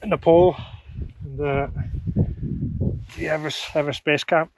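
A man's voice: a little high, steady tone, a little slow. A middle-aged man on a hike giving informal, slightly hesitant answers to a camera outdoors. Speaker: hiking companion. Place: open mountain summit, outdoors. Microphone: handheld action camera, short distance away. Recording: noisy outdoor action-camera audio. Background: loud outdoor noise.

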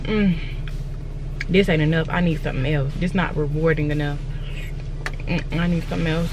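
A woman's voice: deep voice, fairly even intonation, moderate pace, music in the background. A young woman vlogging in casual chat to her viewers, blunt and fired up, swearing freely as she talks from her car. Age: young adult. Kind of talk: casual vlog chat to camera. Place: inside a car. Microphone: phone camera on dashboard. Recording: clear car road noise. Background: car and road noise.

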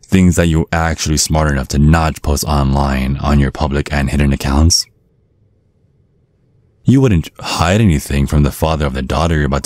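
A man voicing a courtly, protective old vampire father in an ASMR roleplay, speaking in a measured, formal, politely threatening way. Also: rumbling low, a little mellow, no room sound. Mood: quietly threatening.

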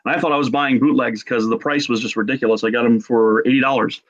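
A man's voice: mid-range voice, fairly even intonation, fast talker, a bit bright. A man in his forties telling casual stories in a chatty, jokey way over a video call. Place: home room. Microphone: computer mic on a video call. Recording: dull video-call audio.

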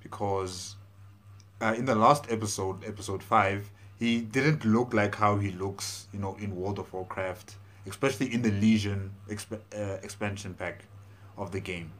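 Low-voiced man, casual commentary. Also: measured pace, a bit bright. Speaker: reaction video host. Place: small room indoors. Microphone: lapel mic.

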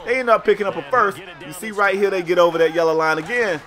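An American man giving casual, slangy play-by-play commentary on a sports video game in an animated storytelling manner. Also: high voice, fast.

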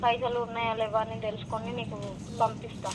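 A high-voiced young woman with a Telangana Telugu accent comes through a very noisy phone call. She keeps questioning in a concerned, insistent manner. Her talk is casual, as between close friends. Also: flat delivery, fast. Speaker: friend receiving a prank call. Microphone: heard through a phone call.

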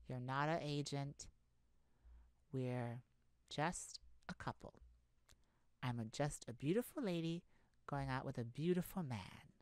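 A woman's voice: deep voice, darkish tone, dry recording, full character voice. A woman playing a charming, glamorous lady thief in a romantic ASMR roleplay, coy and teasing, speaking sweetly to the listener. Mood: coy, inviting. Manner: coy, teasing, leisurely with breathy chuckles. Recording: music behind the voice.

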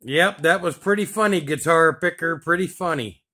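A man with a slightly high voice chats casually over CB radio. He uses operator lingo in an easy, conversational way. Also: moderate pace, noisy background. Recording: CB radio chatter, radio sound.

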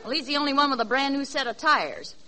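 A woman's voice: high voice, fast, natural voice. A woman playing an exasperated but patient, scolding mother in a 1940s radio comedy sketch. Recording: noisy 1940s radio recording, studio audience.

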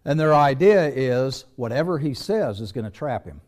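A man's voice: medium pitch, lively intonation, normal speed, darkish tone. A middle-aged man preaching a sermon in a small church, moving about the stage and posing question after question to the congregation. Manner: conversational preaching, walks the stage with rhetorical questions.

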